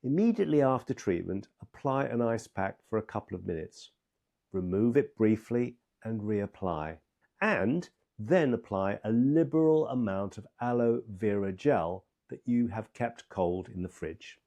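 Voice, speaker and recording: A man delivering scripted medical instruction in a measured, emphatic, step-by-step way, as a practitioner teaching others. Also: lowish voice, animated, gravelly, dark tone.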